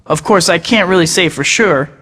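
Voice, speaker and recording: A man's voice: high voice, moderate pace, big-room sound. An actor playing a sarcastic young male superhero in a video game, full of teasing quips. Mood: wry narration. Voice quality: bright. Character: wisecracking young male superhero, cocky and joking. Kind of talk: quippy game voice clips.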